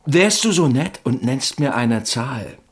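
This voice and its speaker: A man acting in a radio play as a gruff, domineering garage boss, curt, sarcastic and threatening. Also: medium pitch, lively intonation, takes it slowly, noisy background. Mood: menacing, sarcastic.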